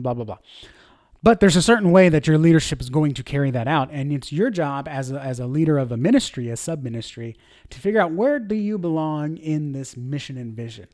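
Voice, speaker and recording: A man's voice: fairly high pitch, darkish tone, dry recording, clean studio sound. A man in his thirties, a church media director, teaching a leadership lesson in an informal, conversational way, with fillers and self-corrections. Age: 30s.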